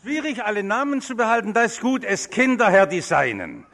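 A man's voice: sits high, sing-song, fairly quick, a bit bright. A man serving as pastor, leading a church service and solemnly announcing a hymn, recorded from a distance in a large church. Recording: long church reverb, distant mic.

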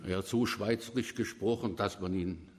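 Swabian preacher in a reverberant church. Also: male voice, on the low side, moderate pace, a little hiss or hum. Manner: emphatic, rising to fervent proclamation. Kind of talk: sermon.

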